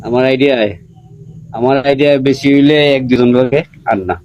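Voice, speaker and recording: A man's voice: medium pitch, flat delivery, a little fast, darkish tone, highs cut off, a little hiss or hum. An older man chatting casually as a caller on a video call. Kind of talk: casual chat.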